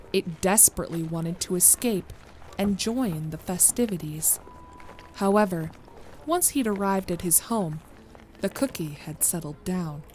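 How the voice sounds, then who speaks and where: Slow, lowish woman narrator. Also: a little mellow, slight background noise. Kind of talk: scripted narration of a horror fan story.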